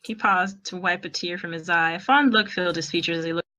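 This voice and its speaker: Female narrator for fan fiction, bright and expressive, on the lower side. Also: fast, lo-fi sound.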